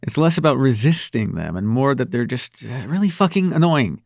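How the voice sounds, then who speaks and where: Male actor as young demon prince for intimate roleplay audio: sarcastic, secretly tender, animated pitch, gravelly edge, casual and sweary. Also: moderate pace, no echo, clean studio sound. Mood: playful, teasing. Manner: casual, sweary, teasing, hesitant when sincere.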